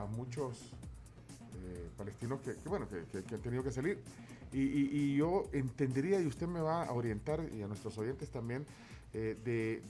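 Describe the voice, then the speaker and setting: A man's voice: medium pitch, darkish tone. A man hosting a radio interview in a studio, friendly and often searching for words with repeated fillers. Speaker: radio host.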